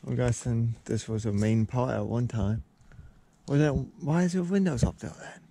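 Young man exploring, low gravelly voice. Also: moderate pace. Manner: hushed, awed remarks. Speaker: urban explorer vlogger. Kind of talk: casual exploring chat. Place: abandoned building, indoors.

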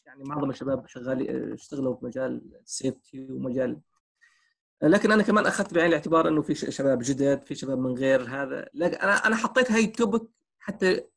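A man's voice: fairly high pitch, vocal fry, fairly bright tone. A man lecturing on workplace safety over a video call, using personal examples and many fillers. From Syria. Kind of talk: online lecture, answering questions.

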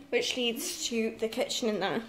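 High-voiced young British woman, noisy recording. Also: slow pace. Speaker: vlogger giving a house tour. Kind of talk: casual handheld vlog chat with sibling. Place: indoors, rooms of a holiday house. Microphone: handheld phone or camera. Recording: noisy handheld phone recording.

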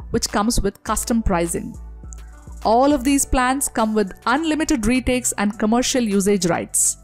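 A woman narrates a software review from a script. Her voice sits fairly high, and soft music plays behind her. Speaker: video reviewer. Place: voice-over over screen recording.

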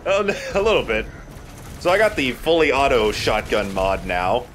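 A man's voice: medium pitch, very animated, moderate pace, fairly bright tone. A man giving casual game commentary as a let's play commentator. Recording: game noise behind voice. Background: game ambience.

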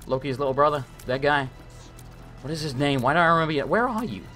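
A man commentating a live gameplay stream, hoarse and losing his voice, talking frantically and swearing often. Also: a little high, animated, fast, pushed and bright, faint music behind. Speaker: gaming streamer.

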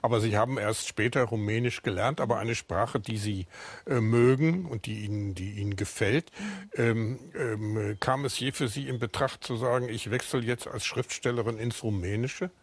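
A man's voice: medium pitch, moderate pace, breathy edge, dry recording. An older man hosting a television studio interview, asking long, searching questions and agreeing often. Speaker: talk show host.

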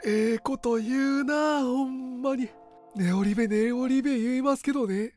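A man's voice: very high voice, normal speed, a little mellow, soft background music, voice pushed up. A man playing a chatty, folksy customer with a Kansai accent, musing wistfully.

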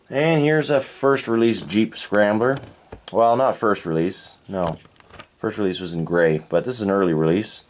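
A man from Canada reviewing toy cars in a relaxed, unhurried way, thinking aloud and correcting himself as he goes. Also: lowish voice. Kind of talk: unscripted hands-on toy review. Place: indoors at a tabletop diorama.

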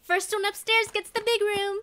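A woman's voice: extremely high pitch, level tone, normal speed, bright, forceful tone. A woman playing a vain, dramatic, sassy teenage older sister in an audio drama. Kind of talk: fan audio drama acting.

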